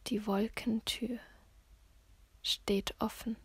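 Female poetry reader: hushed, near-whisper, even and restrained in pitch, very dry close sound, measured phrasing with long pauses. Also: breathy edge, no echo. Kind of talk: spoken-word poetry reading, slow and sparse.

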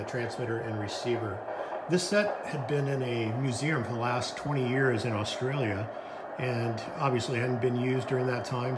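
Loud background noise and room echo surround a man's mid-pitched voice. He is middle-aged and talks casually, like a hobbyist showing off equipment on camera. The sound is that of a home video shot indoors. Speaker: amateur radio operator demonstrating vintage equipment. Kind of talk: casual demo narration. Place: indoor room at a wooden table.

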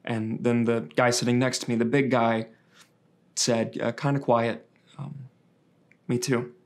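A young man delivers a first-person testimonial as a read monologue. His voice sits at a middle pitch. He tells it conversationally, with small hesitations. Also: little pitch movement, measured pace. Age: young adult. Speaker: reader of a survivor's story. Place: indoors against a dark backdrop.

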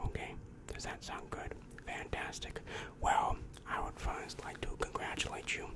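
A young man voicing a weary, exasperated demon guidance counselor in an ASMR roleplay, whispering breathily and close to the microphone with slow, repetitive, patronizing reassurance. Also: close to an everyday voice. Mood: calm, officious. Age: young adult. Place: small dim room indoors. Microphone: large microphone very close to mouth.

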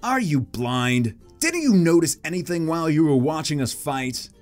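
A man's voice: a little high, big pitch swings, normal speed, faint music behind, pitched above the performer's own voice. A man voicing a smug, aloof rival trainer boy in a comic manga reading. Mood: condescending.

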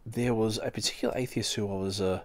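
A man hosting a podcast, reading aloud and commenting casually in a halting, rambling way. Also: fairly low pitch, fairly even intonation, a little mellow, a little hiss or hum. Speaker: podcast host.

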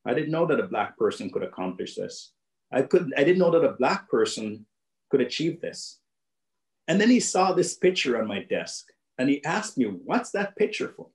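A man's voice: medium pitch, big pitch swings, normal speed, reverberant. A middle-aged man from Jamaica with a Jamaican accent, telling a personal story to young people in an earnest way over a video call from his home office. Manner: earnest storytelling, repeats phrases for emphasis. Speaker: guest speaker.

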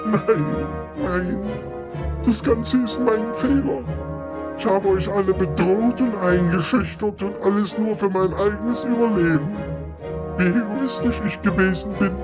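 A man's voice: sits high, a little slow, over loud music. A man playing a proud, pompous troll in a fairy-tale parody radio play, speaking in stilted, formal phrases. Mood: pleading, guilty. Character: male troll, proud, hungry, pompous, guilt-ridden.

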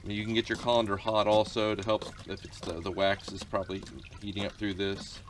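A man's voice: lowish voice, flat delivery, a little fast, a little hiss or hum. A middle-aged man giving a casual outdoor how-to as a beekeeper, rambling with many fillers and restarts, recorded on a camera mic in a farmyard.

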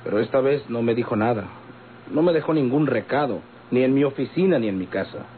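A man's voice: mid-range voice, expressive, brisk, a little hiss or hum, natural voice. A man playing a formal, courteous but insistent Mexican police commander in an old-time radio drama. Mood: businesslike. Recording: tinny old radio recording with babble.

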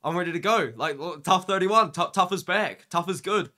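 A sick young man, losing his voice, giving an excited, rambling casual reaction vlog into a webcam indoors. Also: sits high, moderate pace. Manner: excited, rambling, says "man" and "dude" a lot. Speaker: MMA YouTuber.

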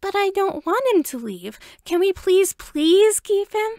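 An excitable five-year-old boy from a story , acted out by a woman reader. The voice is pitched well up and pushes with eager, insistent pleading. It sounds dry and close. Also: a little slow, dry recording, heavily acted. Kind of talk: acted audiobook dialogue.

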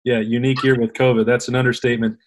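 A man's voice: mid-range voice, flat delivery, rapid-fire. A man in his thirties hosting a casual sports interview, setting up questions and video clips in a conversational way. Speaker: host, sports reporter. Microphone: video call.

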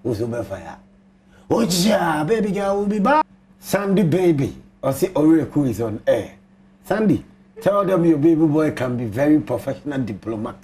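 Animated Ghanaian sports host, lively pitch. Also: male voice, moderate pace, slight background noise. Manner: animated, exclamatory, hype-man delivery. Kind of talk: lively TV sports talk mixing English and Twi. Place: TV studio.

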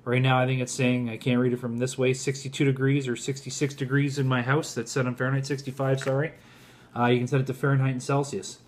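A man's voice: medium pitch, flat delivery, fast. Casual man reviewing a product. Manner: informal, addresses viewers as guys. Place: indoors in a home kitchen.

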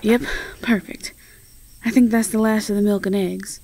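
A woman playing a sweet, quick-witted young farm woman with a Southern US accent in an audio roleplay, folksy and teasing. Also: medium pitch, steady tone, dark tone, close to an everyday voice. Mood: fond, reassuring.

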